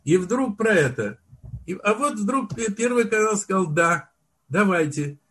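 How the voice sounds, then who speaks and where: An older man, a film director, telling his story in a relaxed interview, often restarting and searching for words. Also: high-pitched, measured pace, slight background noise. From Soviet Union. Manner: reminiscing, with frequent restarts and word searches. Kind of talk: unhurried storytelling in conversation.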